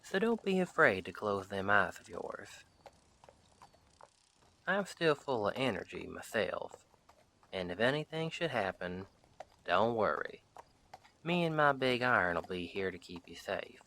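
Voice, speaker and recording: Man playing a slow-talking, bright-voiced friendly cowboy sheriff. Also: on the low side, sing-song, slight background noise, full character voice. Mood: reassuring, calm. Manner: folksy drawled western phrasing, unhurried. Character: friendly, protective cowboy sheriff of the Old West. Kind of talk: roleplay audio drama, speaking softly to the listener.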